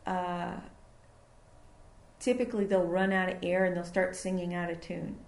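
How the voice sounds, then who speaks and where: A woman recording engineer talking casually in an interview about her studio, explaining with fillers and pauses. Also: fairly low pitch, flat delivery, vocal fry, a little mellow. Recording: echoey room.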